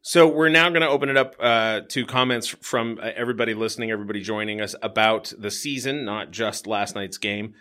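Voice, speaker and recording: A man hosting a casual hockey chat, upbeat and quick to laugh. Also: on the low side, sharp tone. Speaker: host.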